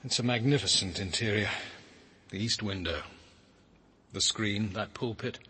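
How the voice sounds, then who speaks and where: An actor playing a cultured, courteous British gentleman in a radio drama, speaking in a measured, polite way. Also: on the low side, breathy edge, full character voice. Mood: appreciative. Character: cultured, courteous gentleman, reflective diary narrator. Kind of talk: radio drama narration and dialogue.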